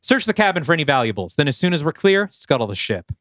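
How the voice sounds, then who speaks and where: Man putting on a smug, menacing raider boss, pitched up. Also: very animated, fast talker, a bit bright, dry recording, clean studio sound. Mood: commanding. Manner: threatening, sneering. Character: smug, menacing male leader of armed raiders.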